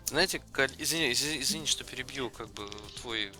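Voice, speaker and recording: A man chatting casually as a podcast co-host, with a hesitant, halting delivery. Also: medium pitch, music in the background. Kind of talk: casual chat.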